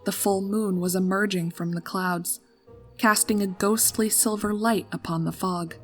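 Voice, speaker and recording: A woman's voice: medium pitch, fairly even intonation, measured pace. Woman narrating a story over music. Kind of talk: scripted dramatic story narration. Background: background music.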